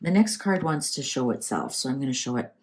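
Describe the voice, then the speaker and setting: A woman's voice: a bass voice, lively intonation, a little fast, a little mellow. A woman with a Canadian accent hosting a casual, improvised tarot reading, thinking aloud in a conversational way. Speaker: tarot reader and channel host.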